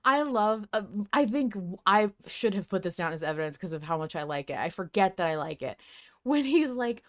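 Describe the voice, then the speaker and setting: A woman in her thirties hosting a casual fan discussion podcast, talking in an animated, emphatic way and swearing casually. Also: medium pitch, a little fast. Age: 30s. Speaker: podcast host.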